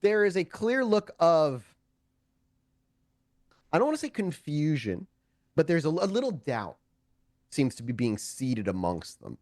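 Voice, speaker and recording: Animated, profane male game master. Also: on the high side, unhurried, long pauses, no echo, clean studio sound. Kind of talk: improvised tabletop game narration.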